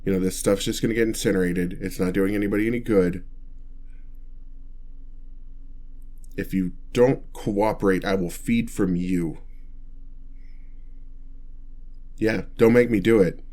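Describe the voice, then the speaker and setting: A bashful male vampire, acted by a man for an ASMR roleplay, with a low-pitched voice. He stammers and gets flustered, too awkward and kind to be frightening. He speaks straight to the listener in halting phrases. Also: steady tone, mellow, natural voice. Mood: flustered bluster. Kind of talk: ASMR roleplay, one-sided dialogue to listener.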